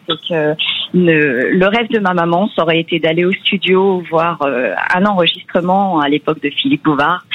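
A woman calling in to a radio show, talking haltingly with many fillers and repeated words. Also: lowish voice, fairly bright tone, lo-fi sound. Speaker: radio caller. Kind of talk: emotional call-in chat.

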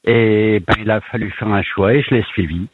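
A man's voice: lowish voice, steady tone, slight background noise. Middle-aged French man on a tinny phone line. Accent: French with a slight Yorkshire tinge. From northern France. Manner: halting, many fillers and restarts. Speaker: caller, baker abroad. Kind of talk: phone-in chat on radio.

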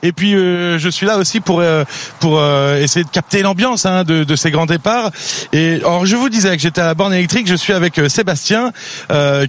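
A man reporting live and informally as a roving radio reporter, halting and repeating words as he goes. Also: a little high.

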